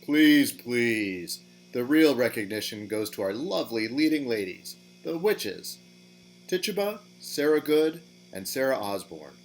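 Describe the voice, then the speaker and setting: A man's voice: mid-range voice, big pitch swings, a little slow, poor audio. Man playing a vain demon over faint hum. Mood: smug, gracious. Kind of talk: theatrical audio drama speech. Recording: mains hum, home recording.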